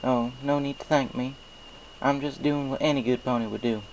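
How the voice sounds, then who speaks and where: Man putting on a folksy, higher-pitched country mare. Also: fairly even intonation, normal speed, darkish tone, a little hiss or hum, close to an everyday voice, rough recording. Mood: friendly. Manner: folksy drawl with dropped g's. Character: friendly, honest young female country pony, confident and reassuring.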